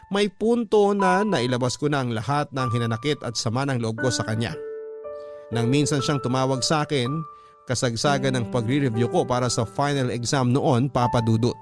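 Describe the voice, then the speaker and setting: A man with a Filipino accent reading a scripted first-person story as a radio drama narrator. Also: mid-range voice.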